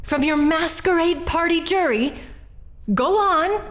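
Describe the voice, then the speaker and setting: An actress playing a bitter, defiant woman who confesses a killing in a radio drama. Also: extremely high pitch. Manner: bitter, defiant, rushed when reliving the fight.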